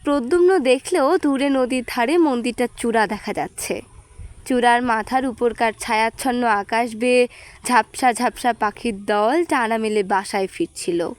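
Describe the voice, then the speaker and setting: A woman's voice: on the high side, normal speed. A woman reading a literary short story aloud as scripted narration. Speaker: audio story reader.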